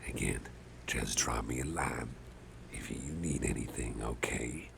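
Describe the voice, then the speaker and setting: An extremely deep, gravelly older boss acted out by a man murmurs in a breathy half-whisper, slow and unhurried. He is soft-hearted, protective and a little jokey, rambling gently to the listener in an ASMR roleplay. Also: background noise, full character voice. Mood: caring, indulgent.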